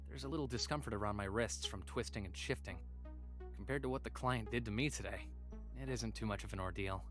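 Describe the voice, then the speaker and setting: Visual novel android companion, male, played by a man: polite, obedient, subdued and weary, formal diary narration with hesitant pauses, medium pitch. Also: fairly bright tone, natural voice. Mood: hurt, uneasy.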